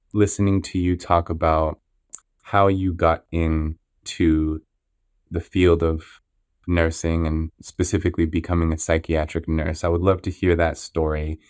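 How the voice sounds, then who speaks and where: Low, flat-voiced man hosting a podcast. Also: no room sound, clean studio sound. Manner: measured, with frequent restarts and "you know". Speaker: podcast host. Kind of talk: interview intro, earnest and reflective.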